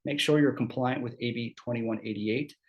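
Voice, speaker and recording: A man presents employment law at a seminar, his throat scratchy from a cold. He keeps it conversational, with quick asides and frequent "right?" check-ins to the room. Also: medium pitch, steady tone, lo-fi sound.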